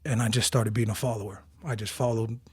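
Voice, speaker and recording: A man in his forties from Tonga, telling animated stories about his youth as an interview guest. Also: lowish voice, a little fast, breathy edge, dry recording, studio quality. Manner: animated storyteller, acts out quotes. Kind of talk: casual storytelling interview.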